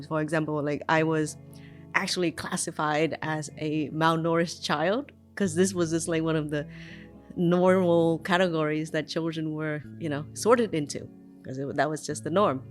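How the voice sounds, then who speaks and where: A woman in her forties from Vietnam, recalling her childhood in an unscripted, reflective way, with frequent ums and likes and restarts in her sentences. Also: low voice. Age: 40s. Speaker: migrant telling her life story. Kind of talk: unscripted personal memoir.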